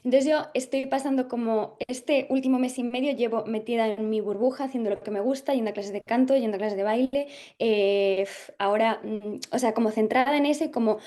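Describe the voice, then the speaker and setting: A young woman from Galicia speaks as an interview guest, her voice sitting a little high. She thinks aloud as she goes, pausing on fillers and restarting her sentences before settling on what she means. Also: dark tone. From Galicia, Spain. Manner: thoughtful, hesitant, many fillers and restarts. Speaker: actress guest. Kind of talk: casual interview chat.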